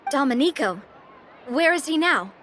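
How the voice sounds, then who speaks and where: A self-assured young boss lady from a game. Her voice is high and bright with lively swings, breezy and informal, over faint noise. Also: normal speed, a faint crowd. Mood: brisk, frank. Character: confident, breezy young female leader of an organization. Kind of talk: video game voice acting.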